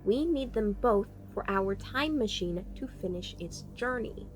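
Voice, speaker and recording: A woman playing an exasperated, technically minded time-travel engineer in an audio drama, explaining briskly. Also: mid-range voice, expressive, faint music behind, lightly acted. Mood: exasperated, explanatory. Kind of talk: cinematic audio roleplay acting.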